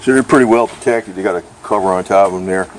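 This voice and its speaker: A man with a fairly low voice talks off the cuff in a casual vlog. He rambles informally and swears a little as he shows his gear. There is faint steady noise behind him outdoors. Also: fast talker, rough recording. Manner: rambling, informal, mildly profane. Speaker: vlogger reviewing radio gear.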